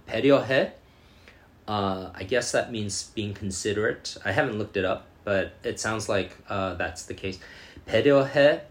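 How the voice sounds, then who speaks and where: Man, learner vlogger; casual, hesitant delivery, sounds out new words. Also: medium pitch. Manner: halting, lots of fillers, sounding out foreign words slowly. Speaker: language learner reacting to a show. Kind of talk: casual commentary.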